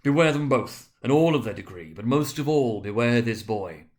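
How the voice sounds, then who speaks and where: A man's voice: medium pitch, lively intonation, moderate pace, darkish tone, close to an everyday voice. A man voicing a solemn, ageing Christmas spirit, stern and sorrowful, in an emphatic, declamatory storybook reading. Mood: grave warning. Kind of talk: dramatic storybook reading of a Victorian tale.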